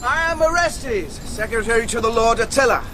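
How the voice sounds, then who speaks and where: A man playing a suave, sardonic secretary to a barbarian warlord in a historical radio drama, speaking in a measured, dryly ironic way. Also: very high voice, lively intonation, crowd noise. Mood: suave, amused. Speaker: actor.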